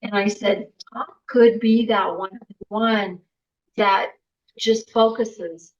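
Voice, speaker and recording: A woman telling a long story in casual chat as a podcast guest, animated, with filler words. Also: mid-range voice, slow. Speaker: patient's wife, podcast guest. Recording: muffled lo-fi podcast audio.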